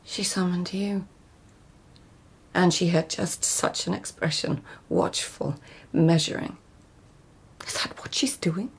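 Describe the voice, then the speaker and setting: Radio drama lead: a guarded, passionate imperial lady-in-waiting; lowish, creaky voice, hushed and confiding, slipping into whispered asides. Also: fairly even intonation, takes it slowly, pauses often, full character voice. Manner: intimate, hushed, often breaking into whispered inner narration. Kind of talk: literary radio drama acting.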